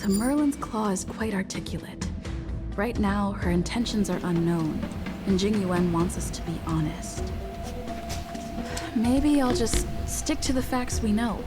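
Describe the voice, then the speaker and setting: A woman's voice: mid-range voice, lively intonation, measured pace, natural voice. An actress playing a thoughtful young female traveller in a video game, speaking carefully and articulately. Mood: pondering. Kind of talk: game voice acting. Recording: loud game music behind.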